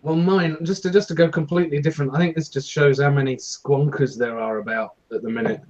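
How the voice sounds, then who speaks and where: A man's voice: on the high side, steady tone, fast, a little mellow, highs cut off. A British man co-hosting a casual panel chat show. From United Kingdom. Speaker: co-host of a vaping talk show.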